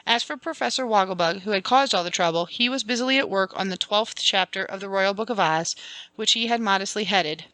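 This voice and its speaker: Bright-voiced woman reading a storybook. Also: medium pitch, fairly quick, no echo. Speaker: audiobook reader. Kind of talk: scripted storybook narration, dramatic reading.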